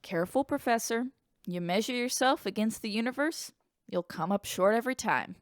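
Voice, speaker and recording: Actress as sharp old granny sleuth in a radio drama, dry n kindly, close dry sound. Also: female voice, fairly high pitch, lively intonation, dry recording, close to an everyday voice. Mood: wry, kindly. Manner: dry, grandmotherly, unhurried. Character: woman in her eighties, kindly shrewd amateur sleuth.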